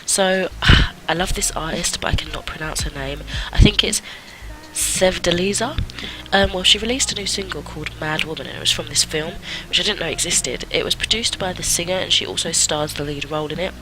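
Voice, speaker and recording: A man's voice: on the high side, fairly quick, over music, poor audio. A man with a cold, chatting casually and laughing at himself through a monthly film wrap-up vlog. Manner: chatty and self-mocking, laughs often. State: has a cold. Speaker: film review vlogger.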